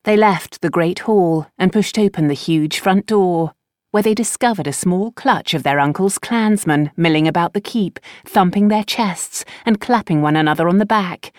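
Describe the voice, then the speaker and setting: A woman reading fiction as an audiobook narrator, with expressive, polished storytelling. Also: lowish voice, normal speed, no echo, clean studio sound.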